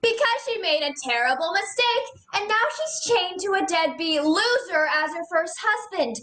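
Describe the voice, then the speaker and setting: A woman's voice: very high-pitched, expressive, moderate pace, fairly bright tone, a little hiss or hum. A teenage girl playing an indignant, stubborn diva actress in a stage comedy, arguing in a declamatory way over a video call. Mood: scornful, defiant. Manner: declamatory, argumentative.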